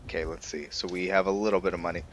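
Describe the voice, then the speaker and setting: A man's voice: deep voice, fairly even intonation, very fast, slight background noise. A man giving casual commentary as he plays a video game, musing aloud in a laid-back way. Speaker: host of a video game roleplay series. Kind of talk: casual let's-play commentary.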